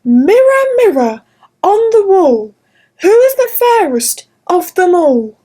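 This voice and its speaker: A woman voicing a proud, jealous wicked queen in a storybook, chanting high. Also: big pitch swings, slow, dark tone, heavily acted. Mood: vain.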